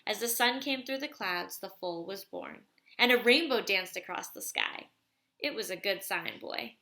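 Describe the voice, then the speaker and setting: Storybook elder played by a woman: wise old grandfather, patient, measured delivery, reassuring, bright tone. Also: mid-range voice, expressive. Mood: reminiscing. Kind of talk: storybook read aloud.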